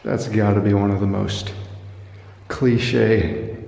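A man narrating his own exploration of abandoned buildings in a dry, wry, deadpan way, filmed on a handheld camera. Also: lowish voice, takes it slowly, vocal fry, slightly rough. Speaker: urban explorer vlogger. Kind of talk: casual solo exploring commentary. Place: abandoned buildings and overgrown fields, indoors and outdoors.

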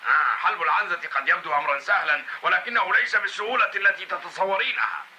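Old cartoon grandfather, acted by a man, brisk delivery, gentle gruffness, patient, noisy dull soundtrack. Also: high voice, close to an everyday voice. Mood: patient explaining. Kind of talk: Arabic cartoon dubbing.

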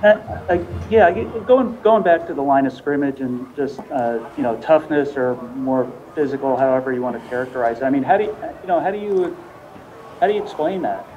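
A man's voice: mid-range voice, brisk. A man working as a reporter, asking questions at a press conference in a hesitant, circling way. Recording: dull sound, faint noise.

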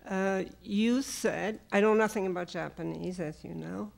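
A woman in the audience asking a question and making comments from the floor, with an uneven, deliberate pace. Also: mid-range voice, gravelly, darkish tone.

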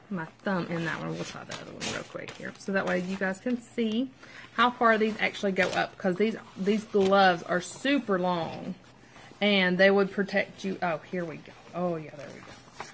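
A woman's voice: mid-range voice, creaky, a bit bright, slight background noise. A woman casually reviewing a product at home, chatty and upbeat, rambling with fillers and asides. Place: at home with her child nearby.

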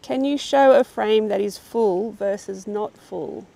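A woman with a mellow, deeply gravelly voice reads out viewer questions off camera. She keeps it brief and matter-of-fact, and she sounds distant, as if she is well away from the mic. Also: unhurried.

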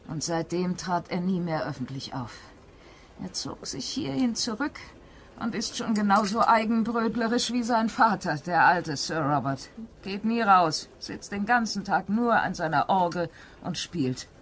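A woman's voice: medium pitch, big pitch swings, measured pace, slight background noise. A woman playing a gossipy country-house housekeeper in a German mystery radio play, telling things in a confiding, dramatic way.

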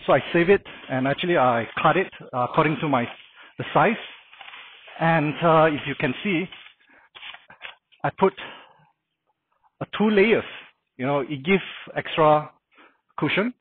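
A man's voice: on the high side, measured pace, long pauses, a little hiss or hum. A middle-aged man casually walking through a car camping setup, explaining things plainly with frequent uh fillers. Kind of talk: casual how-to walkthrough. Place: outdoor carport beside a house. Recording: dry, phone-like narrow sound.

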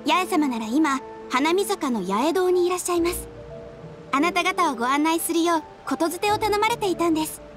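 A woman's voice: extremely high pitch, animated, brisk. A woman voicing a courteous, composed young guild receptionist in a Japanese anime game, with formal, helpful customer-service delivery. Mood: polite, helpful. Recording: music behind the voice.

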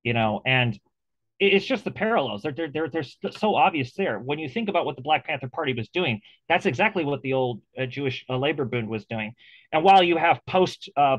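A man's voice: mid-range voice, fairly bright tone, no room sound, muffled recording. A man hosting an interview over a video call, talking casually and animatedly, with many restarts and fillers. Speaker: interview host.

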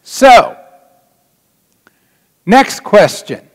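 A middle-aged man, a pastor addressing his congregation informally in a folksy, dry manner with long pauses, in a large church. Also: high voice, big pitch swings. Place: large church sanctuary, indoors. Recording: reverberant church hall.

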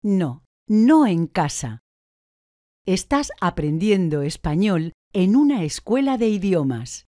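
A woman answers drill questions very slowly, with a mellow, lowish voice and lively rises and falls in pitch. Every word is carefully articulated. The recording is close and dry. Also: no room sound. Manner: slow, deliberate, clearly articulated answers. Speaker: language course speaker.

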